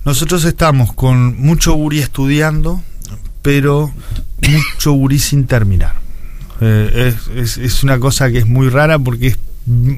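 A man in his forties with a Uruguayan accent, giving explanatory answers as an interview guest, often repeating words as he searches for phrasing. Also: medium pitch. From Montevideo, Uruguay. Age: 40s. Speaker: interview guest, education official.

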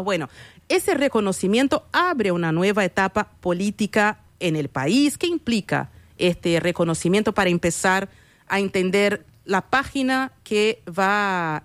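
Woman radio host, brisk presenter. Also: medium pitch, no room sound. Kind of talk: radio show hosting.

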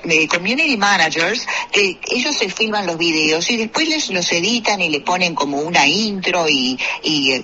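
A man's voice: sits high, sharp tone, highs cut off. A man with a Uruguayan Spanish accent chatting casually on a radio show, rambling with many fillers and restarts. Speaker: radio co-host. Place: radio studio.

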